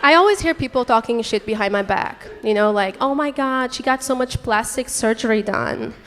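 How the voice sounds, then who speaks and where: A woman's voice: on the high side, fairly bright tone, slight background noise. A young woman from Portugal, a native Portuguese speaker, doing a crude, brash stand-up set on a club stage into a handheld mic. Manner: brash, crude punchlines with quick crowd asides. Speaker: stand-up comedian. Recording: live club recording with long reverb. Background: audience laughter and chatter.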